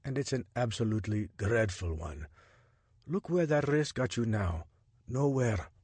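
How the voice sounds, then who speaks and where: A man's voice: medium pitch, animated, a little slow, a little mellow, breathy edge, no echo. A man reading a story voices a wary, formal male informant who speaks in short, disapproving lines. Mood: scolding.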